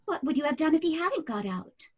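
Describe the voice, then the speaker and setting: An eerily calm lady passenger. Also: high voice, fairly quick. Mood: serenely insistent. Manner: insistent, serenely unbothered. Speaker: cab passenger. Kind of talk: old-time radio drama.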